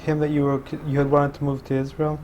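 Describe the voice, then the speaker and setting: A man's voice: fairly high pitch, monotone, quick pace, darkish tone. A man asking conversational interview questions, recorded a little away from the microphone with some room noise.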